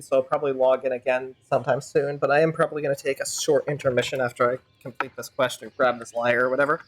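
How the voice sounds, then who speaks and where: A woman's voice: rumbling low, slight background noise. A woman streaming a game, giving casual, wry and sardonic live commentary.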